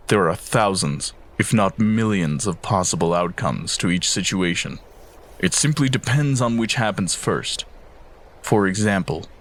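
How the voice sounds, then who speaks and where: A man acts a godly prophet from a fantasy audio drama. His voice sits deep, and he talks with composed, solemn foreboding. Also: a bit bright. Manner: measured, solemn. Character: calm, wise, foreboding seer, male, son of a god.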